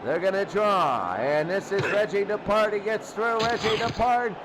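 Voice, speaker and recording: A man calling football play-by-play for radio in an excitable manner, often repeating phrases. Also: high voice, normal speed. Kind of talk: radio sports commentary. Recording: loud noisy stadium crowd behind. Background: roaring crowd.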